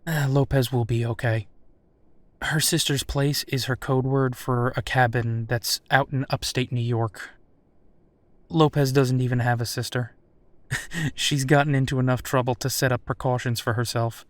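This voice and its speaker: Male actor as steady werewolf detective, worried, caring, reassuring, mid-pitched, intimate audio roleplay, very dry recording. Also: moderate pace, no echo, lightly acted.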